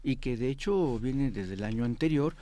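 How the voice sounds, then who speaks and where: A man's voice: mid-range voice, animated, moderate pace, dark tone. A man with a Mexican accent explaining world affairs as an academic guest in an interview.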